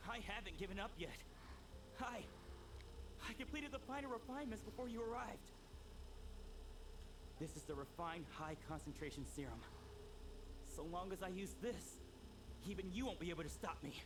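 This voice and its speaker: A man's voice: high-pitched, normal speed, pauses often, background noise, natural voice. An actor voicing a desperate, stubborn young male researcher in a video game, speaking defiantly. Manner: defiant, determined.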